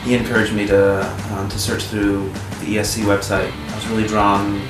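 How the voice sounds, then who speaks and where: A man's voice: fairly low pitch, monotone, moderate pace. An American man in his twenties giving an unscripted personal testimonial as a service intern. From Virginia, United States. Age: 20s. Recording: echoey, distant mic, guitar music behind.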